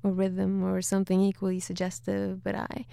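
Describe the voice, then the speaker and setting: A woman from Sweden with a Swedish accent, chatting casually as a studio guest and then reading her poetry slowly and deliberately. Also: medium pitch, completely flat delivery, creaky, warm tone, clear, resonant tone, dry recording. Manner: laughs easily, reads poetry slowly and deliberately. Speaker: guest poet. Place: radio studio.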